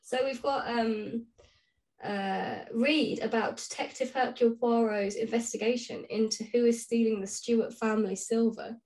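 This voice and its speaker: Female co-host, higher-pitched, informal and playfully dry in conversation. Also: fairly even intonation, moderate pace, a little mellow, rough recording. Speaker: podcast co-host. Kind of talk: casual chat between friends.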